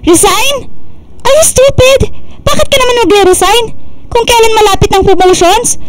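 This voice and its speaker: A woman's voice: very high-pitched, moderate pace. An actress playing a domineering, hot-tempered female office boss who scolds sharply in mixed Tagalog and English in a radio drama. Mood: outraged.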